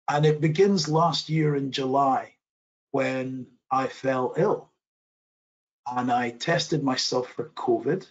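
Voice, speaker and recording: A middle-aged man giving an online presentation in a modest, measured way, often restarting his sentences. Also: medium pitch, lo-fi sound. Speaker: webinar presenter.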